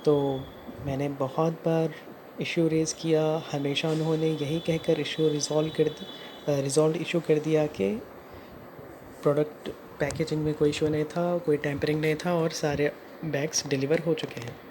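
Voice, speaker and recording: Indian vlogger explaining stuff, mid pitched voice, drops in english, some noise behind. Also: level tone, moderate pace. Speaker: customer narrating own complaint as video creator. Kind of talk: explanatory vlog narration mixing in English phrases. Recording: clear background noise.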